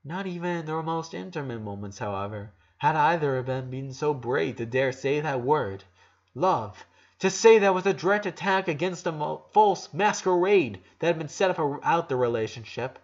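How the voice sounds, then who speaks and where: A man's voice: fairly high pitch, lively intonation, normal speed, highs cut off. A man reading a dramatic story aloud as narrator, emotive, with occasional stumbles.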